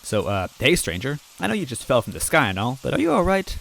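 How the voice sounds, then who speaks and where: A man's voice: medium pitch, big pitch swings, fast, vocal fry, a bit bright, a little hiss or hum, pitched above the performer's own voice, poor audio. A man voicing a cheery, grinning male bean-man who sells beans from a cart, talking in a chirpy, folksy, friendly way.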